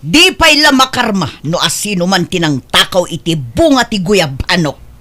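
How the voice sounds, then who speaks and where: A woman playing a meddling, self-righteous senior woman in a comedic drama, nagging with drawn-out exclamations. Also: on the low side, sing-song, sharp tone, slight background noise. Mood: complaining. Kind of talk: comedic radio-style drama acting.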